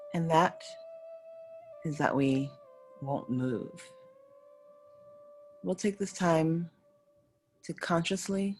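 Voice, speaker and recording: Low-voiced woman guiding a slow meditation. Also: darkish tone. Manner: soothing, unhurried, instructing in short phrases with pauses. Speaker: yoga nidra meditation teacher. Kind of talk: guided meditation. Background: faint music.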